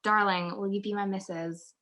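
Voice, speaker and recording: Detective's witty wife, played by a young woman, mid-pitched, teasing, amateur 1940s radio play. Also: fairly even intonation, moderate pace, bright, forceful tone, close to an everyday voice. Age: young adult.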